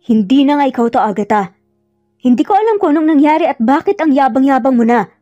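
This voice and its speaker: Woman acting insistent, pleading male ex-lover, mellow tone. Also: sits high, animated, fast talker, no room sound, highs cut off, soft background music. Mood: angry. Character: pleading, frustrated man, insistent former lover.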